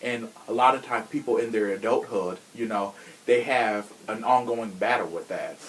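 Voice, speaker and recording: A man's voice: on the low side, normal speed, fairly bright tone, poor audio. A man hosting a podcast in a casual chat, with a sassy, slangy and opinionated way of talking. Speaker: podcast host.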